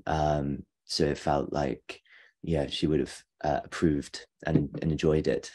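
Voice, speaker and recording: A man in his forties reflecting personally in a halting way, repeating words as he goes. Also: very deep voice, level tone, warm tone, slightly rough. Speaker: panelist. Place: kitchen, indoors. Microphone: video call, laptop.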